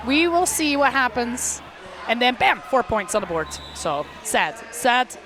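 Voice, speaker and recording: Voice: medium pitch, big pitch swings, a little slow, crowd noise, background noise. Roller derby commentator. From Belgium. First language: Dutch. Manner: chatty, self-deprecating, laughs often. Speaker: play-by-play commentator. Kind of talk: live sports commentary.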